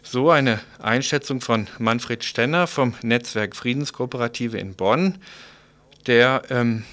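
A male radio presenter with a medium-pitched voice. He reads a written news report in long, formal sentences and leads into the items that follow. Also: fairly bright tone. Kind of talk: scripted news narration.

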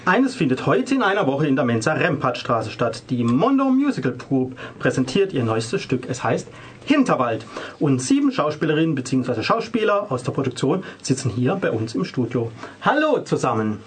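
A man's voice: medium pitch, very animated, moderate pace, husky. A man hosting a radio interview, talking with studio guests. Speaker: radio host.

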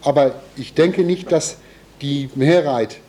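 Slow, halting man with a mellow voice. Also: on the high side, slight background noise. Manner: halting, hesitant, searching for words. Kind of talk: spontaneous critical statement at a meeting.

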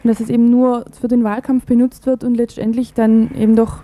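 Woman, slightly high, mellow tone, conversational radio interviewer, close dry sound. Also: flat delivery, brisk, no echo.

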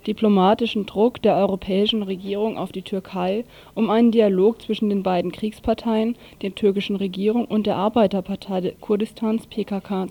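A woman's voice: medium pitch, dark tone. A woman presenting a radio news magazine, reading from a script.

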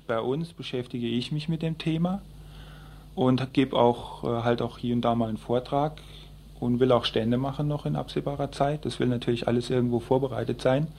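Halting man with an even, flat pitch. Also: vocal fry, a little hiss or hum. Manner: hesitant, halting, explanatory. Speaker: environmental group activist being interviewed. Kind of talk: spontaneous radio interview answers.

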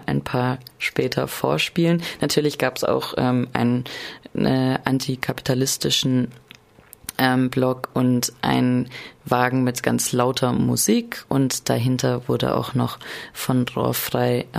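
Creaky-voiced reporter, halting and informal. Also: mid-range voice. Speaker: radio reporter. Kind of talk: informal spoken event report.

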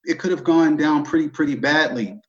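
A man's voice: on the high side, brisk, lo-fi sound. A man with an American accent recounting personal experiences as a panelist.

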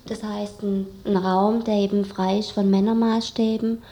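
A woman's voice: medium pitch, level tone, moderate pace, dark tone. A young woman giving casual explanations as a guest in an interview. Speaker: interview guest, student activist.

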